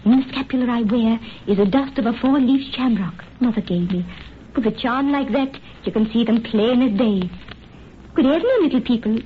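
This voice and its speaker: An actress playing a passionate, superstitious young Irish sweetheart in dramatic old-time radio melodrama. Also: female voice, a little high, moderate pace, lightly acted. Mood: solemn, insistent. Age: young adult. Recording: muffled, noisy vintage radio recording.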